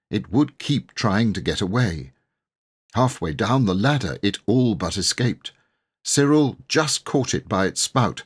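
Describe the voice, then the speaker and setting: A male audiobook reader with a fairly low, husky voice. He narrates a children's story expressively, with a lot of melodic movement in his delivery.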